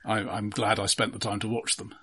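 A man co-hosting a casual film podcast, talking in a measured, analytical and somewhat halting way. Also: lowish voice, level tone, fairly bright tone, slight background noise.

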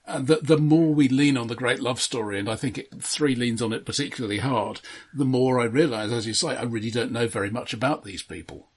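A man's voice: mid-range voice, fairly quick, few pauses, slightly rough. A man co-hosting a casual, critical film discussion with a wry, drily sarcastic way of talking. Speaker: film discussion podcast co-host.

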